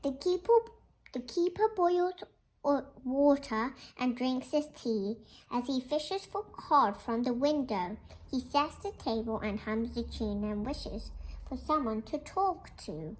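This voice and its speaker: A woman's voice: high-pitched, slow, low-quality recording. A young girl reads a children's picture book aloud, explaining it and asking questions like a little teacher. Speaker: young storybook reader.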